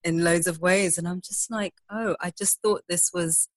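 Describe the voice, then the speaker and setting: A woman from Zimbabwe, a social entrepreneur guesting on a podcast, speaking candidly and haltingly with fillers. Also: lowish voice, fairly even intonation, no echo. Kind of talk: conversational interview.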